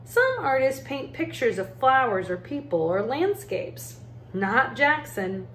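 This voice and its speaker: A woman reading a children's picture book aloud as an educator, in measured, deliberate phrases with pauses. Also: high-pitched, sing-song. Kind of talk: storybook read-aloud narration. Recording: roomy echo, faint background noise.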